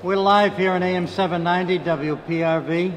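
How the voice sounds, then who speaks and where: A man's voice: sits high, fairly even intonation, a little fast. An older man with a Boston accent hosting a live radio show, talking upbeat like an announcer with many fillers, at a desk microphone. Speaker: radio host. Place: conference hall, indoors. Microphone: desk microphone, headphones.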